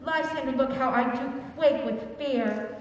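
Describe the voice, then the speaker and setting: A woman playing a gentle, devoted young maiden. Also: high voice, a little slow, slight background noise. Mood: frightened. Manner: modest and gentle, rising to frightened cries. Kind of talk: Shakespearean stage acting. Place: large hall or theatre stage. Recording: distant, echoey stage recording in a large hall.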